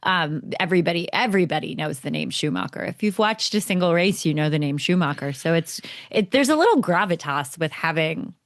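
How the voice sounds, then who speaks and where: A woman with a slightly low voice chats casually and gives her opinions bluntly. She leans on her points with emphasis and a wry edge, like a sports podcast co-host. Her sound is close and very dry. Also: lively intonation, brisk, hardly any pauses, no echo. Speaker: podcast co-host discussing motorsport.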